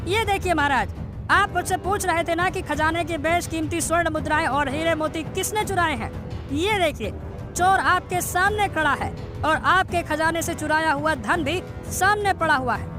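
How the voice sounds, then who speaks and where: A woman's voice: very high voice, moderate pace, music in the background. A woman voicing a scheming, commanding royal minister, a menacing man, in a cartoon story. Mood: accusing.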